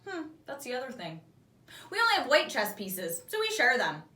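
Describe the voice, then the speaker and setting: Woman voicing a small, excitable storybook creature, echoey. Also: high voice, moderate pace, exaggerated voice. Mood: eager. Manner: excitable, earnest, insistent. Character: small eager, earnest male fantasy creature in a tutu. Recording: roomy echo, distant microphone.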